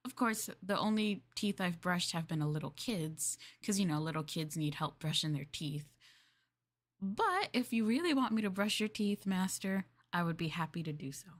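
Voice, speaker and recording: A woman plays a young female maid in an ASMR roleplay. She is gentle and courteous, with a bashful, hesitant way of talking. She sounds eager to please as she offers her services to her master. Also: fairly low pitch, animated, normal speed. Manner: soft, polite, hesitant, apologetic, eager to please. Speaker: VTuber streamer playing a maid for live chat. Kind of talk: live roleplay ASMR, improvised chat.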